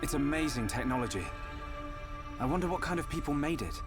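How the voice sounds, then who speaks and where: An actor playing an earnest, gentle young researcher hero in a video game cutscene. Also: male voice, a little high, expressive, brisk, close to an everyday voice. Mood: thoughtful. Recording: game music under the voice. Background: loud background music.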